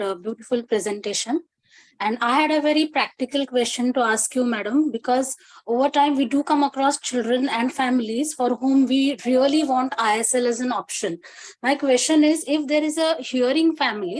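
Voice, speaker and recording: A woman with an Indian English accent, a webinar participant asking a formal question in a polite, deliberate way. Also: high-pitched, darkish tone, rough recording. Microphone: video call.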